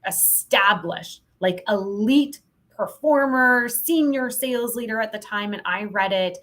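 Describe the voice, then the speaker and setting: A woman's voice: medium pitch, a little slow, fairly bright tone. A woman speaking as a podcast guest in a casual interview, giving candid opinions with emphasis. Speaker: podcast guest, sales professional.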